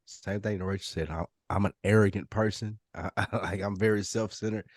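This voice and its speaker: Blunt, low-voiced man on a podcast. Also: fairly even intonation, fairly quick, no echo, studio quality. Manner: blunt, slangy, swears freely. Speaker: podcast panelist. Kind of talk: casual group discussion.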